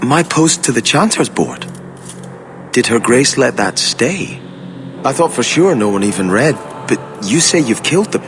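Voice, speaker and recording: An exiled fantasy prince, courtly and earnest, with grief under his formal manner. His intonation is highly animated, and faint noise sits behind the game dialogue. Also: a little slow. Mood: surprised, grateful.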